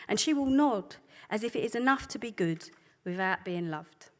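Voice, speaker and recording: A woman reading as a weary, tender woman addressing the man who calls her good. The recitation is slow and measured, in a middle pitch, plain and close. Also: expressive, lightly acted. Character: weary, tender woman speaking a poem to a lover. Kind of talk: poetry reading.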